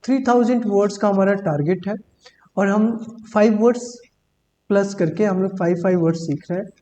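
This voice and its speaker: A woman's voice: mid-range voice, mellow. Woman teaching vocabulary in an echoey hall. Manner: reads English examples slowly and deliberately, explains in Hindi; repeats words and says "ठीक है" often. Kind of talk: Hindi-English vocabulary lesson. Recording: long hall reverb with faint hum.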